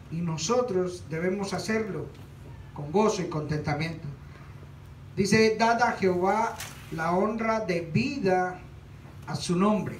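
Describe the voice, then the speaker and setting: A man's voice: sits high, slight background noise. A middle-aged man speaking earnestly to a small church congregation before the offering, reading scripture aloud. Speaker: church leader introducing the offering. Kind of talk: church exhortation. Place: small church stage, indoors. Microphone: handheld mic from a far camera. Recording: echoey room, far from the mic.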